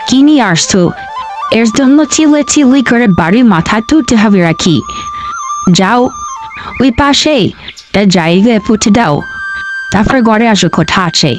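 A woman voicing a sharp-tongued, stubborn fox wife in a cartoon, scolding and sarcastic. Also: on the high side, expressive, moderate pace, pauses often, over music, lightly acted. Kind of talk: cartoon voice acting.

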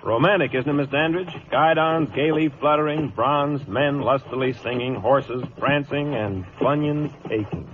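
An actor playing a blunt, sarcastic young cavalry lieutenant in an old radio drama. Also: male voice, mid-range voice, a little slow, hardly any pauses, natural voice. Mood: mocking. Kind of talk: 1940s-50s radio drama acting. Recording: tinny, hissy vintage radio recording.

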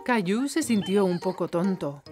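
A woman reading scripted children's story narration in an expressive storytelling manner. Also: mid-range voice, normal speed, soft background music.

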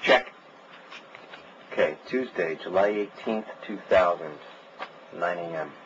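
A man's voice: sits low, slow, a bit bright. A man playing a matter-of-fact investigator dictating a clipped case log in an audio drama. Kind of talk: clipped tape-recorded log. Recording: dull lo-fi tape, faint noise.

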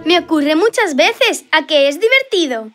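A woman's voice: very high-pitched, sing-song, moderate pace. A woman voicing a fibbing, eager, sweet little wooden puppet boy in a children's cartoon, with a Spain Spanish accent and wide-eyed exclamatory delivery. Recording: children's music behind the voice.

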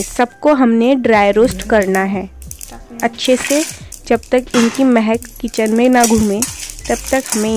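Mellow woman explaining a home recipe. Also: fairly high pitch. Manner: calm, instructive, homely explanations. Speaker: cooking channel host. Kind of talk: step-by-step recipe voice-over.

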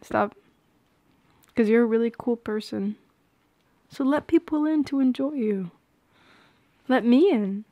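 Soft, slow-talking young woman, ASMR roleplay. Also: a little high, no room sound. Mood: tender, shy. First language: Polish. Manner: soft-spoken, hesitant, often dropping into whispers. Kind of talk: intimate ASMR roleplay acting. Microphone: close microphone.

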